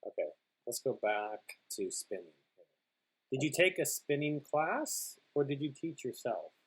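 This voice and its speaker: A man with a slightly husky voice and an ordinary middle pitch reads out questions and chats in an easygoing way. His tone stays loose and relaxed. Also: unhurried, poor audio. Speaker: guest, host's husband. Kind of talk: casual Q&A chat.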